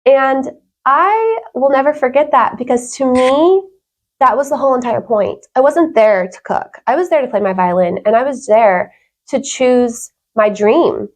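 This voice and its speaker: A woman's voice: sits high, animated, a little slow. A woman talking casually as an interview guest, earnest and emphatic in how she makes her points. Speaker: podcast guest.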